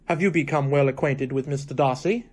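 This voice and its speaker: A man's voice: mid-range voice, fairly quick, lightly acted. A man playing a pompous, self-satisfied clergyman suitor in a radio play, speaking in formal little speeches. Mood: probing, rueful.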